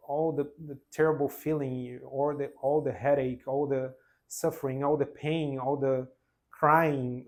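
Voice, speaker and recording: A man in his forties from Brazil with a Brazilian Portuguese accent, whose first language is Portuguese, telling his own story unscripted in an earnest, halting way with many restarts. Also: mid-range voice, a little mellow. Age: 40s. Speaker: vlogger. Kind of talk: spontaneous personal testimony.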